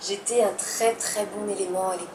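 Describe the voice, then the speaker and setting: A woman's voice: mid-range voice, fairly even intonation, brisk. A young woman, a dancer answering interview questions hesitantly, with many fillers and restarts. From Italy. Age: young adult. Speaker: professional dancer, interview guest. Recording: roomy distant mic with background noise.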